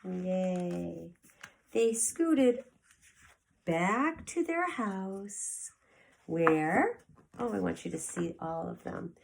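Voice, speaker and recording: Casting: female preschool teacher, theatrical picture-book reader, slow and highly animated. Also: creaky, a little hiss or hum. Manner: theatrical, with gasps and asides to young listeners. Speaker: preschool teacher reading aloud. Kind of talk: expressive children's picture-book read-aloud.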